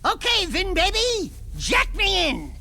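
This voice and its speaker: A man playing a small, cocky animal sidekick in a video game, sarcastic, excitable and squawky. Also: very high voice, slow, vocal fry, full character voice. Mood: cocky, excited. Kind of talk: cartoonish video game acting.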